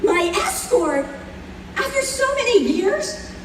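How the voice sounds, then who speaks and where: Actress as sad bewildered woman, old-time radio drama, slow delivery, high voice, roomy distant live recording. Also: big pitch swings, background noise, full character voice. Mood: astonished. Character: sad, bewildered woman, a reincarnated man named Carl.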